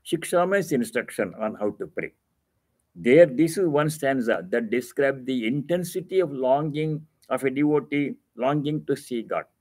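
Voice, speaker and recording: A man with an Indian accent teaching scripture as a swami, explaining terms patiently and repeating key phrases for emphasis, with deliberate pauses. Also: medium pitch, animated, a little mellow, slightly rough, no echo. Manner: explains Sanskrit terms didactically. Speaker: swami giving a religious discourse. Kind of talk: scripture reading with devotional commentary.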